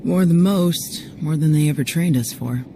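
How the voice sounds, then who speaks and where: A woman's voice: sits low, normal speed, slight background noise. A woman playing a tough, cocky female security chief in a game cutscene, blunt and wry in manner. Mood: somber, bitter. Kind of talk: video game cutscene acting. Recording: music under the voice.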